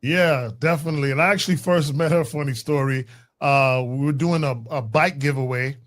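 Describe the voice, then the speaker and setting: A man's voice: on the high side, fairly even intonation, a little fast, fairly bright tone. A man co-hosting a podcast, telling a story in casual, slangy chat with frequent restarts. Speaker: podcast co-host.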